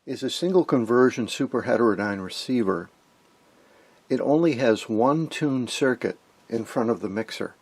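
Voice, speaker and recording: A man giving an informal technical demonstration of vintage radio gear, explaining and pausing to point things out. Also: mid-range voice, animated, no room sound. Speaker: host, electronics hobbyist.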